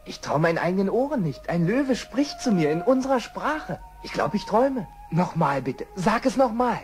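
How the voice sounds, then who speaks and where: An actor playing a kind, level-headed expedition survivor in a children's radio play, speaking earnestly and emphatically. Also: male voice, high voice, very animated, normal speed. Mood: amazed, grateful. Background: music.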